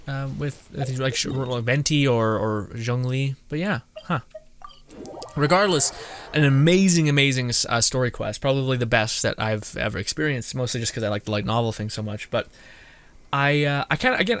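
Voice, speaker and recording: A young man giving casual live gameplay commentary as a streamer, rambling and halting as he reacts. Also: mid-range voice, a bit bright. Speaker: game streamer.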